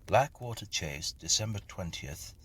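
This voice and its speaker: A man with a gravelly voice reads a Victorian ghost story as a measured, solemn narrator. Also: low voice, expressive, fairly bright tone. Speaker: reader and narrator of ghost stories.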